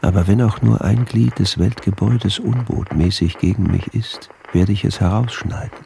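A man's voice: fairly low pitch, normal speed, vocal fry, mellow, slight background noise. An actor playing a deranged, fanatical doctor who declaims his diaries in solemn scriptural tones for a radio drama. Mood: vengeful fervour. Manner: solemn, portentous, obsessive.

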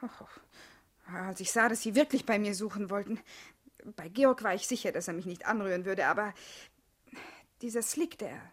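An actress playing a playful, mocking young wife in a German radio play, coquettish, ironic and teasing. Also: female voice, mid-range voice, moderate pace, long pauses, close to an everyday voice. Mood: confiding.

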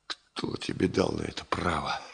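A man's voice: very deep voice, normal speed, vocal fry, heavily acted. An actor playing a brusque, agitated male chief engineer in a 1970 Soviet radio play, emotional and abrupt. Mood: angry, anguished. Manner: emotional, abrupt, swinging from hushed to shouting.

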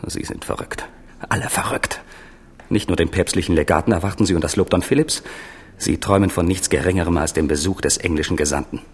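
A resentful younger prince in a radio play. His low voice is confiding and sardonic, full of bitter scheming. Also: quick pace, breathy edge. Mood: bitter, scornful.

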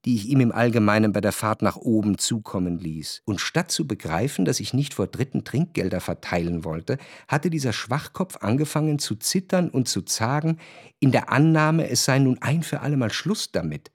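An actor playing an introspective, wryly analytical narrator in a historical radio play, speaking in a measured, articulate, reflective way. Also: male voice, mid-range voice, expressive, husky, no room sound, studio quality.

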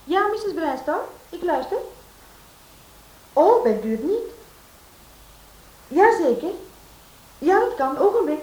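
An actress playing the novelist's playful, jealous young wife in a radio drama. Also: female voice, extremely high pitch, big pitch swings, slow pace, pauses often, a little mellow, exaggerated voice, low-quality recording. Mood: teasing. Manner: teasing and jealous. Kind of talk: Dutch radio drama acting.

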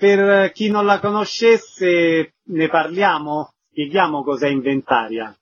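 Husky higher-pitched male radio host. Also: slow. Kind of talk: radio interview presenting. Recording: muffled, band-limited radio interview audio.

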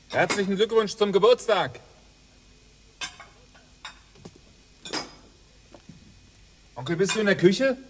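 A man's voice: high-pitched, a little hiss or hum. An actor playing a polite, puzzled young policeman in a naturalistic radio drama. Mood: cheerful, calling out. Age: young adult.

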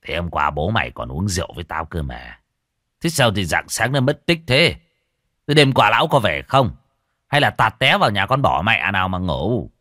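Guy doing a low gruff old village exorcist, crude and grumbly, dry close voice. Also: sing-song, moderate pace, bright, forceful tone, dry recording, heavily acted. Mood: gruff grumbling. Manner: coarse, grumbling.

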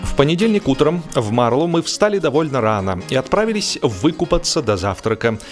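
A man reading a humorous literary story as an audiobook narrator, expressive and measured, with deliberate pauses. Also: mid-range voice, over music.

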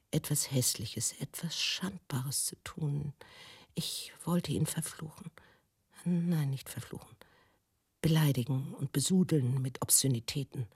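An actress playing a haunted middle-aged woman in an intimate radio drama monologue, halting and brooding, drifting into breathy near-whispers. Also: low-pitched, dark tone, no echo, clean studio sound, exaggerated voice. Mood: anguished, restrained. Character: haunted middle-aged woman recalling her stern father and lost child.

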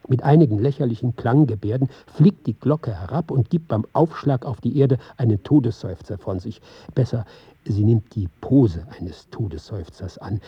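An actor playing an intent, precise man who recites a sound score for a radio play in short, deliberate phrases. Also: on the low side, dark tone, husky, no echo, muffled recording. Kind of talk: dramatic scripted reading.

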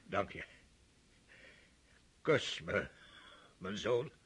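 An actor playing a stern, mocking older aristocratic father in a radio drama, with a husky voice and scornful laughs. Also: low voice, lively intonation, very slow, a bit bright, exaggerated voice.

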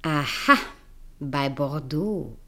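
An actress playing a cool, sardonic, secretly tender Parisian woman in a German radio play, deliberate and intimate in manner. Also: deep voice, expressive, bright, forceful tone, exaggerated voice.

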